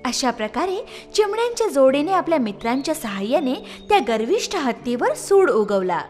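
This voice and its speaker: A woman narrating a scripted children's moral story in an expressive, lilting storytelling way. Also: high voice, moderate pace, faint music behind.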